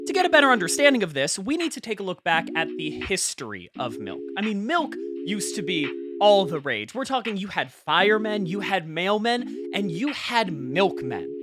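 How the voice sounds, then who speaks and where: An earnest conspiracy podcast host played by an actor speaks in a mid-range voice with a crackly fry. The delivery is wry and conversational, treating absurd theories with mock seriousness. Intonation moves widely, leaning hard on key words. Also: mid-range voice. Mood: wry, confiding. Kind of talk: podcast monologue, conspiratorial rant.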